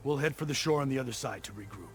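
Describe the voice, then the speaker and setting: A man playing a gravelly, grateful soldier. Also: mid-range voice, lively intonation, very fast, faint music behind, close to an everyday voice. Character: male soldier, grateful and practical.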